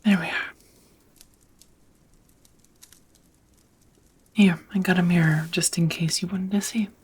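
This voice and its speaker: A woman playing a centuries-old vampire roommate in an intimate ASMR roleplay, tender and melancholy, whispering softly with hesitant, formal wording. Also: on the low side, steady tone, quick pace. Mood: gentle, shy. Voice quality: breathy, whispery, soft.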